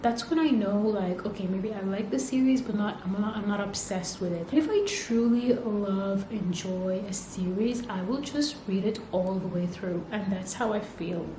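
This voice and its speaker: Excitable young woman gushing about books. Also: mid-range voice, normal speed, few pauses. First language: Arabic. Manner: gushing, excitable, repeats "okay?" and "like". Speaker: book vlogger. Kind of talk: casual chatty book reading vlog. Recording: echoey room with noticeable background noise.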